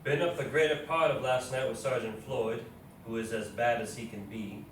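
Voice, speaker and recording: A plain-spoken explorer and army officer in his thirties acted by a man reads his journal aloud on stage. The voice is mid-pitched and comes from some distance in a very echoey room, with faint noise. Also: fairly even intonation, normal speed, natural voice. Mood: solemn. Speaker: local stage actor. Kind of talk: readers theatre, reading journal entries aloud. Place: live readers theatre performance in an echoey indoor space.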